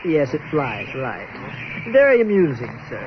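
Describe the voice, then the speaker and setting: An actor playing a courtly, eccentric old gentleman explorer with a formal, precise, stuffy manner in a radio comedy adventure. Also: mid-range voice, huge pitch swings, measured pace. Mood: stuffy, commanding. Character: courtly, pompous, eccentric ancient gentleman explorer on lost island. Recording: muffled, noisy lo-fi radio recording.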